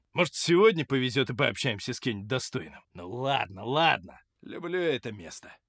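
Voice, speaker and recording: An actor playing a cocky, foul-mouthed Russian gangster fighter in a video game, taunting with mocking street-slang swagger. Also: male voice, medium pitch, very animated, moderate pace, gravelly, a bit bright, breathy edge, no room sound, studio quality, heavily acted. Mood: muttering, sardonic. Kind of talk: video game combat voice lines.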